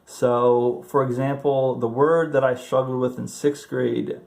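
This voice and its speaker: A man's voice: mid-range voice, flat delivery, a little slow, creaky, a little mellow. A man in his thirties who stutters, talking casually to camera about his own experience, with repeated words and fillers. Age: 30s. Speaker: video host sharing stuttering tips.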